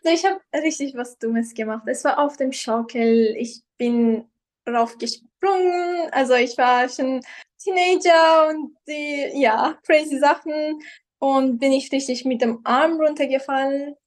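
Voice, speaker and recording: A young woman guest tells her story in casual German. Her voice sits a little high, and she often hesitates and reaches for the right word. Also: measured pace. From Iran. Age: young adult. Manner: hesitant, searching for words in learned German. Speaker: guest, professional model. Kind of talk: casual interview.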